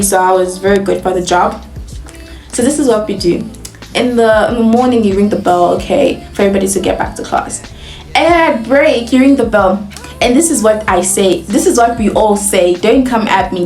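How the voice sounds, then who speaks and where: A woman's voice: mid-range voice, moderate pace, echoey room, soft background music. A young woman from Nigeria with a Nigerian accent, telling a casual, chatty story as a vlogger, laughing often and filling with "like". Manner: chatty, animated, laughs often, heavy use of "like".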